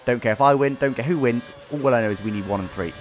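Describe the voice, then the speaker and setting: A man's voice: medium pitch, fast talker, soft background music. A man giving casual, explanatory commentary over his own racing game race, recapping as he goes.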